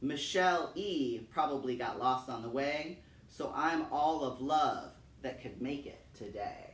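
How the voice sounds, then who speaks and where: A man's voice: medium pitch, lively intonation, measured pace, fairly bright tone. Man hosting storytime in an echoey room. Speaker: storytime host. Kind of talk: poetry reading and talk for children. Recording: roomy, distant mic, faint noise.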